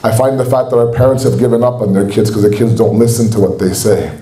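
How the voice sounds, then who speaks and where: A man's voice: lowish voice, fairly even intonation, fast, dark tone. A man with a Canadian accent giving an earnest, exhorting sermon at a religious gathering in a community center. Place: large hall at a community center. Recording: echoey hall.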